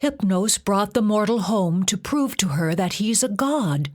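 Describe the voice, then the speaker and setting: A woman's voice: mid-range voice, animated, a little slow, darkish tone, pitched above the performer's own voice. Lady doing a cowed talking doberman, subdued and careful. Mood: wary.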